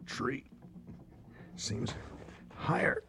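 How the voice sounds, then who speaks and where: An actor playing a weary, haunted colonial hunter, muttering haltingly in a radio drama. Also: male voice, over music. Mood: exhausted, tense.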